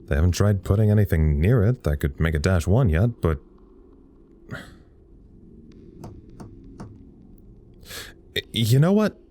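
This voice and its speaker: An actor playing a bored, sardonic communications officer at a secret lab, wry and rambling in naturalistic audio drama style. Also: male voice, low-pitched, big pitch swings, fairly quick, warm tone.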